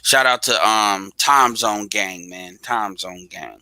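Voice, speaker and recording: A man's voice: lowish voice, slow, sharp tone. A man hosting a live audio stream in casual chat, blunt and profane, talking straight at his listeners.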